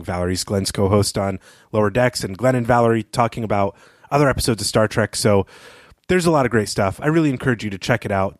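A male podcast co-host with a slightly low voice, captured close and dry with little room sound. His delivery is casual, conversational book talk. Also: dry recording. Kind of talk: casual literary podcast discussion.

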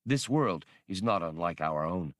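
Audiobook creature role, male reader: grave nonhuman rebel chief, lowish voice, plain earnest wording, very dry sound. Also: lively intonation, measured pace, dry recording. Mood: earnest, resolute. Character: solemn alien creature, rebel leader of escaped slaves. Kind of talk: audiobook character voice.

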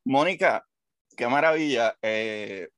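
Male host, mid pitch, dry close sound; eager, filler-heavy. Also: takes it slowly, fairly bright tone, no room sound. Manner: enthusiastic, hesitant with fillers. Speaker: interview host. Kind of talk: casual interview conversation.

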